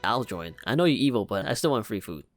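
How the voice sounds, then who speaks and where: A man's voice: on the low side, sing-song, fast, no echo, faint music behind. A young man giving casual, slangy, joking reaction commentary as he plays a video game. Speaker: gaming YouTuber.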